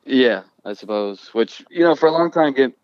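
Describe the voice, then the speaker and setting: A young man from Ireland with an accent that drifts between Irish, Scottish and American, talking casually and haltingly with many restarts. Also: mid-range voice, animated, no room sound, dull audio. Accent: mixed Irish and Scottish with American. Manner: halting, restarts often, thoughtful. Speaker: guest musician. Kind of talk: casual conversation.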